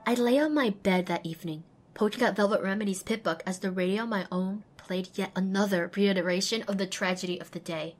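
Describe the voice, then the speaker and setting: A woman's voice: mid-range voice, fairly quick, few pauses, a little hiss or hum, natural voice. A woman playing a small, anxious outcast pony mare who tells her own story expressively and introspectively in an audio drama. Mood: dread. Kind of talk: first-person dramatic audiobook narration.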